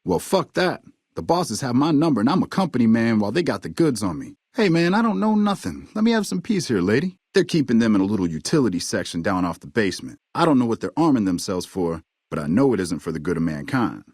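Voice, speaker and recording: A cocky but nervous hustler from a video game, foul-mouthed and full of slang. His mid-pitched voice has a raspy edge and swings widely up and down as he talks. The recording is close and dry. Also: very animated, quick pace, a little mellow, dry recording. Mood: defensive, fed up. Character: fast-talking, foul-mouthed male hustler, nervous smuggler under blackmail. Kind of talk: video game dialogue acting.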